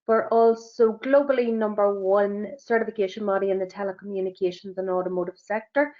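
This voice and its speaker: A middle-aged woman with a Northern Irish accent presenting a business webinar, talking quickly with frequent fillers. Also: mid-range voice, fairly even intonation. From Northern Ireland. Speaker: sustainability assessor and webinar presenter. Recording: dull, lo-fi webinar audio.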